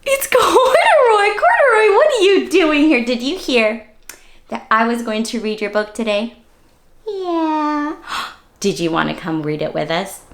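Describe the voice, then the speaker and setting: A woman's voice: high voice, very animated, measured pace. A woman hosting an interactive read-aloud for young children, speaking directly to them with questions and exaggerated wonder. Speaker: children's story-time host and reader.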